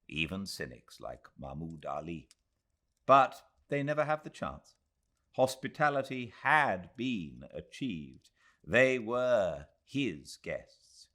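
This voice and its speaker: Male British audiobook narrator wanted: deep, unhurried, expressive delivery for classic literature. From Britain. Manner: measured, expressive storytelling.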